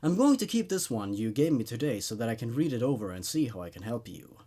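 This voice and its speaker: A man plays a probing psychiatrist in a horror storybook. He is calm and clinical, with a husky edge to the voice. His pitch moves widely as he muses with secret obsession. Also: fast. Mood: calm, advising.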